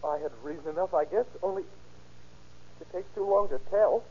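Casting: dazed, resigned young confessor, higher male voice, halting delivery, vintage muffled radio sound. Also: darkish tone, breathy edge. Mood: weary resignation. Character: dazed, defeated young man confessing to a shooting. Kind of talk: 1930s-40s radio crime drama acting. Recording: muffled old radio recording, faint noise.

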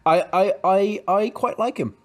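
A man's voice: high-pitched, a little slow. A man co-hosting a podcast, chatting casually about a book chapter, with many restarts and fillers and a dry sense of humour. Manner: hesitant, full of fillers and restarts, dry jokes. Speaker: podcast co-host.

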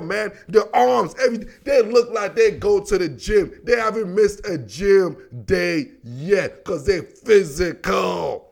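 An American man giving casual, slangy football commentary in an animated, emphatic, repetitive manner, talking straight to his listeners. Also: high-pitched, vocal fry. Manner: animated, emphatic, repeats himself, addresses listeners as dog and baby. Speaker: sports commentator, host.

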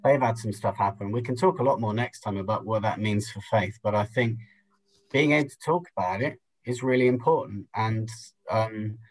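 Thoughtful man, measured and halting. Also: on the low side, poor audio. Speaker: podcast guest. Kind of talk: reflective conversation.

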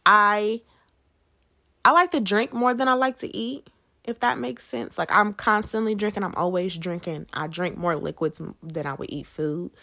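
A woman's voice: mid-range voice, normal speed, creaky, pushed and bright. A woman hosting a podcast on her own, talking in a casual, conversational way and putting questions to her listeners. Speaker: podcast host. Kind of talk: casual solo podcast chat.

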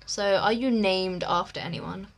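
A woman's voice: medium pitch, a little slow, creaky. A teenage girl with an Egyptian accent, co-hosting a casual podcast in a chatty, teasing way. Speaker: podcast co-host. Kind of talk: casual chat.

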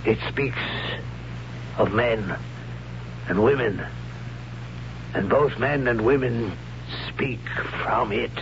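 An actor playing an awed young man who visited a haunted ruin, speaking in a hesitant, halting, hushed way, in a 1970s radio drama. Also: mid-range voice, natural voice. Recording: dull lo-fi old radio broadcast with hiss.

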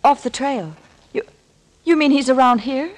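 A woman's voice: sits high, moderate pace, lightly acted. An actress playing a concerned, inquisitive woman in an old radio thriller, earnest and anxious. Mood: curious, uneasy.

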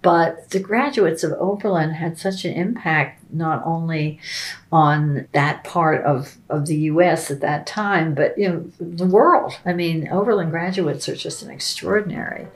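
Female interviewee, very low and creaky, conversational unscripted delivery. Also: unhurried.

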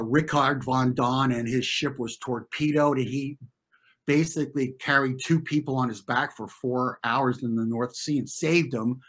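A middle-aged American man speaks as an interview guest in a medium-pitched voice. He tells his story conversationally and reflectively. His phrasing is informal and halting, and he often circles back to reword a thought. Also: a bit bright. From US, New York and New Jersey. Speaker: interview guest, former competitive swimmer.

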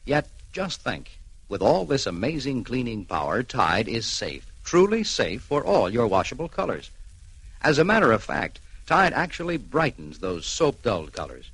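A man's voice: mid-range voice, big pitch swings, normal speed, fairly bright tone, husky, poor audio. A man announcing a vintage radio commercial with emphatic, punchy phrasing. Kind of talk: vintage 1940s-50s radio advertising pitch.

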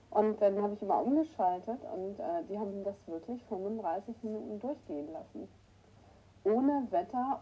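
A woman with a cold hosts a relaxed morning livestream and chats with her viewers. Her voice is dark, mellow and husky, a little hoarse at times, and she clears her throat often. The sound through her new microphone is somewhat dull and lo-fi. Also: medium pitch. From northern Germany. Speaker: livestream host. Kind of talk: casual morning livestream chat. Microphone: new microphone that is not working right.

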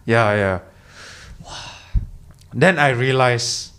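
A man's voice: medium pitch, very slow, fairly bright tone. A young man from Indonesia, a podcast guest chatting casually, hesitant with many restarts. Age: young adult. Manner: hesitant, many restarts and fillers, lots of "yeah". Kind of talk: casual chat.

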